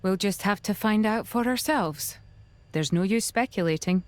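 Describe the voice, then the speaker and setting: A woman's voice: mid-range voice, no echo, natural voice. A woman acting a composed, devoted and formally spoken companion in a video game cutscene. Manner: measured, formal.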